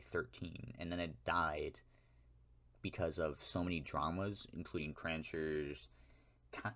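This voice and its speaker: A young man with an American accent and a very low-pitched voice talks straight to his viewers. He rambles through a personal rant, stops to correct himself, and drops wry asides as he goes. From United States. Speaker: YouTuber. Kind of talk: ranting solo monologue.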